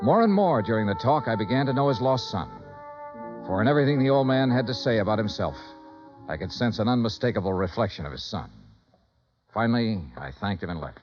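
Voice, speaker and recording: A male actor plays a hard-boiled American investigator telling his case. His delivery is wry and very animated. It is a dull old radio noir recording with clear music behind. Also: on the low side, brisk, lightly acted. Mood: reflective. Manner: crisp storytelling, wry. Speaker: narrator and lead actor. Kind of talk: 1950s noir radio narration and acting.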